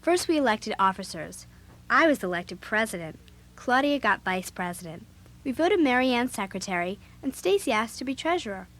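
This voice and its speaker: A spirited, outspoken girl babysitter in an audio drama. Her voice is high and swings freely up and down. She tells her own story with youthful, emphatic delivery. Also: very animated, moderate pace, a bit bright. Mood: earnest. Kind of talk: first-person audio drama narration and dialogue.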